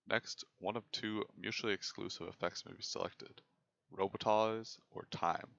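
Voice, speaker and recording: A young man giving scripted technical narration as a presenter, in a measured, formal way. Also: low-pitched, creaky, fairly bright tone, dull audio. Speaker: presenter of a student software project.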